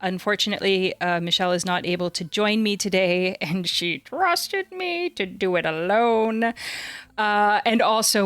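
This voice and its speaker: Female podcast host, chatty and effusive, clean smooth voice, dry close sound. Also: lowish voice, fairly bright tone, dry recording. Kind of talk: casual podcast hosting.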